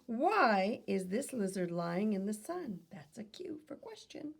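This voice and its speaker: Woman, teacherly children's read-aloud, lively intonation, heavy vocal fry, instructive and questioning. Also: medium pitch, measured pace. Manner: instructive, posing questions to young listeners.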